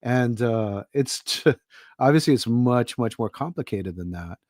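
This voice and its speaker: A man's voice: medium pitch, animated, unhurried, darkish tone, no room sound, clean studio sound. A middle-aged man from Hawaii, an author guesting on a podcast, talking in a thoughtful, rambling way with many fillers. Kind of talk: casual podcast conversation.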